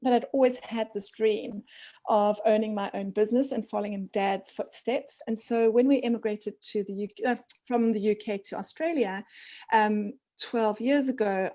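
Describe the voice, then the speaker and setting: A woman's voice: medium pitch, moderate pace. A woman in her forties from Zimbabwe, a business coach, talking as a guest on a video call from a home office. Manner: animated, laughs often, gestures. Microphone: video call, headset wire.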